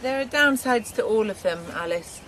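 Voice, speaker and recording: An actress playing a noncommittal horse-riding farm partner in a radio drama, matter-of-fact and guarded. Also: female voice, on the high side, expressive, moderate pace, fairly bright tone, slight background noise. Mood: brisk, guarded. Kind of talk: naturalistic radio drama acting.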